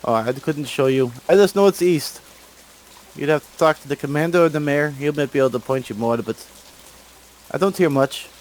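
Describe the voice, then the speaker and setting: Brief: meek old villager, halting broken English, raised pitch, a game master voicing him. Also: male voice, lightly acted. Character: humble older male villager, simple and gentle.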